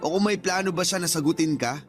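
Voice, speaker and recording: Man acting a blunt, high-pitched Filipino male friend. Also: quick pace, soft background music, close to an everyday voice. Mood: curious, probing. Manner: frank, slangy, scolding. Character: blunt, protective male friend giving love advice. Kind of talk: radio drama acting in casual dialogue.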